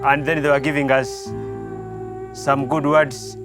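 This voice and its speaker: A young man from Tanzania, a seminarian recounting his calling in a slow, reflective way with pauses. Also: mid-range voice, little pitch movement. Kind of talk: personal vocation testimony. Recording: guitar music playing behind.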